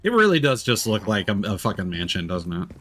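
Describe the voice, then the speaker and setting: A man streaming a video game, talking through it in an expressive, playful way with casual swearing. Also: lowish voice, a little fast. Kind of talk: gameplay stream commentary with dramatic reading aloud of in-game documents.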